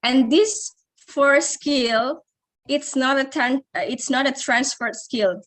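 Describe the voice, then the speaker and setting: A woman's voice: high voice, takes it slowly, fairly bright tone. A young adult woman from Indonesia with an Indonesian accent, teaching an English lesson, hesitant, with many fillers and restarts.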